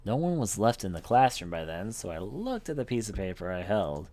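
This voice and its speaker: Deep-voiced man reading a visual novel, animated. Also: fairly quick. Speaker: let's play host reading a visual novel aloud. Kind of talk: animated read-aloud narration with off-the-cuff commentary.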